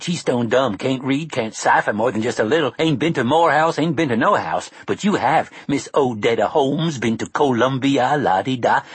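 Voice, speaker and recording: A man putting on a rasping, brash streetwise woman. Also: medium pitch, no room sound.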